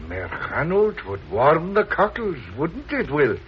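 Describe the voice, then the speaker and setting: An actor playing a boastful, cheerfully murderous deserter soldier with archaic phrasing in 1950s radio drama. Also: male voice, fairly high pitch, huge pitch swings, takes it slowly, full character voice. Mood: cocky, eager. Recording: noisy muffled 1950s radio recording.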